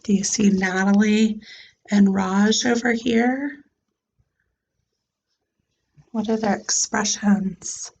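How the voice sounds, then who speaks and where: Woman, unhurried pace, mid-range pitch, expressive storybook reading, deliberate teacherly delivery. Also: gravelly, husky, lo-fi sound. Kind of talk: storybook read-aloud with questions to children.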